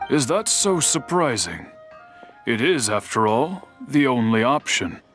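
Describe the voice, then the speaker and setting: A man playing an old, patient master swordsmith in a video game, speaking in a measured, formal, lecturing way. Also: medium pitch, big pitch swings, a bit bright, faint music behind. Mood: calm. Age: older.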